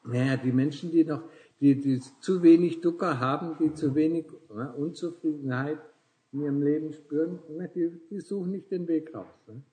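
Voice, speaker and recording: A man's voice: fairly high pitch, moderate pace, mellow, low-quality recording. A man in his sixties talking casually as a Buddhist teacher with visitors, tagging nearly every phrase with a questioning "ne?" and chuckling often. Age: 60s.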